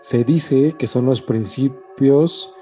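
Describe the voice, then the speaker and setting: Man, Mexican accent, medium pitch, mellow tone, casual co-host, slangy and sweary, music behind. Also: slow. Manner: slangy, profane. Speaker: podcast co-host. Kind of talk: casual chat.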